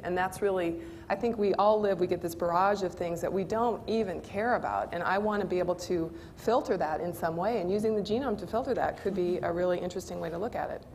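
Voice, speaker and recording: An American woman speaks conversationally as a panelist, with a fairly low voice. She explains things informally through everyday examples. A lapel mic picks her up in an event room with faint noise. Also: brisk, few pauses, a little mellow. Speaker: panelist, company executive. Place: indoor panel stage in a function room.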